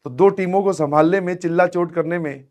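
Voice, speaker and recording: A man with a high, hoarse, strained voice speaks as a coaching teacher to his students. He mixes phrases his talk. He coaxes them along, often says please and keeps checking that they follow. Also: a little fast, darkish tone. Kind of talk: motivational advice to students in an online class.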